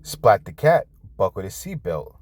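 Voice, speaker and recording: A man reading a children's story aloud with an expressive, drawn-out delivery. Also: sits low. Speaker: storybook reader, host.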